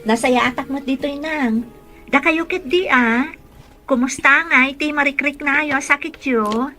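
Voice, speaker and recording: Teasing high-voiced Ilocano caregiver. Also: female voice, a little slow, sharp tone, faint music behind. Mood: gentle, dutiful. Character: teasing, cheerful young female caregiver, caring and frank. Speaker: radio drama actor. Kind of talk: Ilocano radio drama acting.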